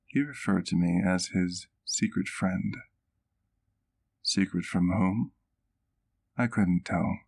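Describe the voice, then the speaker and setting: A centuries-old gentleman vampire played by a man in an ASMR roleplay. His voice is very low with a gravelly creak. He speaks gently and formally, like a doting, wistful doctor recounting old memories in measured, literary phrases. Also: full character voice.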